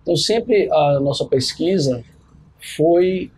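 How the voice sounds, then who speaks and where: Mellow, husky Bahian Brazilian man. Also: fairly high pitch, lively intonation, slow, poor audio. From Salvador, Bahia, Brazil. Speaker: podcast guest, biology professor and researcher. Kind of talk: conversational account of own career.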